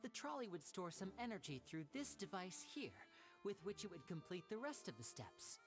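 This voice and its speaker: Woman voicing a lively, poised boyish stage magician. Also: mid-range voice, moderate pace, music in the background, close to an everyday voice. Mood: explaining, assured. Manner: polished showman explaining theatrically. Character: young male stage magician, poised, showy, courteous. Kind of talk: anime video game voice acting.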